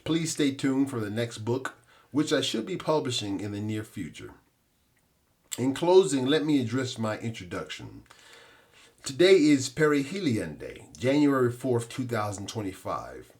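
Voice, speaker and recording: A man hosting a podcast, delivering a scripted monologue in a measured, explanatory manner. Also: medium pitch, big pitch swings, darkish tone. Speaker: podcast host and author.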